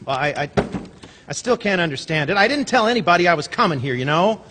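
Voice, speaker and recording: A man's voice: high voice, sing-song. A stubborn small-town young fellow in an old-time radio play. He stammers and hesitates, with a voice that swings up and down as he protests. The sound is a reverberant theater with noticeable hiss. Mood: flustered, defensive. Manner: flustered, folksy drawn-out protests. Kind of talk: old-time radio drama stage acting. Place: theater stage, live performance. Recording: echoey theater stage, hissy live recording.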